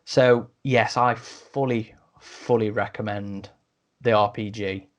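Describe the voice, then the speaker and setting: A man's voice: fairly low pitch, steady tone, slow. Man co-hosting a casual review podcast. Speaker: podcast host.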